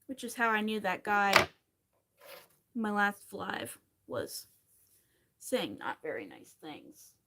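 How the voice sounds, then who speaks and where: A woman's voice: medium pitch, wildly swooping pitch, slow, long pauses, sharp tone, a little hiss or hum. A woman in her thirties from Pennsylvania, chatting casually and rambling with wry asides while she crochets on a livestream.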